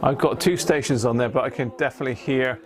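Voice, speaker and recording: A man's voice: medium pitch, quick pace, noisy background. A British man hosting a casual livestream, talking informally to viewers and thinking aloud with frequent tag questions. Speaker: livestream host.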